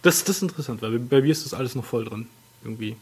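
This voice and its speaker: A man's voice: mid-range voice, rapid-fire, darkish tone, slightly rough. A man talking in a casual chat, self-deprecating and laughing easily. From village.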